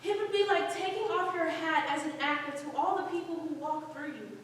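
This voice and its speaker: Modest, gracious actress woman performing, stage monologue, extremely high pitch, long echo. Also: fairly quick, natural voice. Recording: echoey hall from far off, faint noise.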